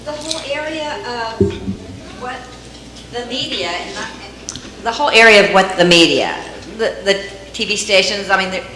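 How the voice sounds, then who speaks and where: A woman in the audience with a loud voice. She asks a rambling question and searches for words. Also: medium pitch, moderate pace. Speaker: audience member asking a question. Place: meeting room. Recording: echoey room recording.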